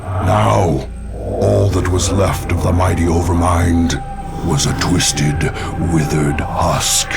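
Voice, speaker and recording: An actor playing a grave, weary alien warrior mystic in a video game, speaking solemnly in deliberate, archaic phrasing. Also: male voice, low voice, vocal fry, exaggerated voice. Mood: somber. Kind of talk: scripted game narration and dialogue. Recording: loud game music behind.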